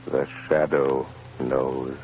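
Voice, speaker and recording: An actor playing a mysterious, commanding male avenger in a 1930s radio drama, with a booming, ominous voice. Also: little pitch movement, very slow, exaggerated voice. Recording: muffled 1930s radio broadcast.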